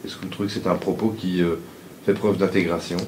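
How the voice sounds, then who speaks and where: Deep-voiced interviewer in an echoey clip. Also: male voice, flat delivery, a little mellow. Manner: measured, probing. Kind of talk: journalistic interview questions. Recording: echoey distant clip with faint noise.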